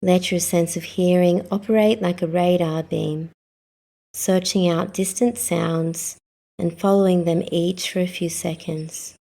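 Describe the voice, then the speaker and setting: Woman leading yoga nidra, really slow and calm, lowish voice, short measured phrases. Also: vocal fry. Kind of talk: guided meditation.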